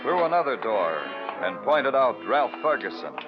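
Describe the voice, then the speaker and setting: Dogged, terse cop played by a man, deadpan vintage radio noir delivery, middle register, muffled archival audio. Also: mid-range voice, normal speed, close to an everyday voice. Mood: wry narrating. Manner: clipped, sardonic questioning and deadpan narration. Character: terse, dogged, world-weary male New York police detective. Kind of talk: 1950s radio crime drama acting and first-person narration. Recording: dull, muffled old radio recording with music.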